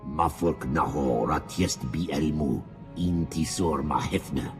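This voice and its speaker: An actor playing a grave alien leader in a game cutscene, speaking slowly and solemnly in an alien tongue. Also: male voice, extremely low pitch. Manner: slow, deliberate, solemn. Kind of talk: video game cutscene acting. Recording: long reverb, music behind.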